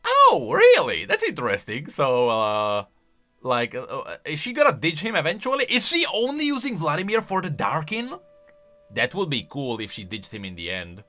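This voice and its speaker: Very bright, excitable man doing reaction commentary. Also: a little high, moderate pace. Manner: excitable, emphatic. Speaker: host, game lore commentator.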